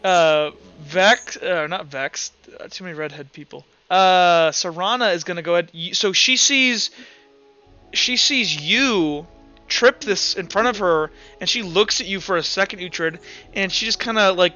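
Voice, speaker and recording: A man running a tabletop roleplaying game as game master, casually narrating and thinking aloud in a halting way. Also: high-pitched, pushed and bright, muffled recording, faint music behind.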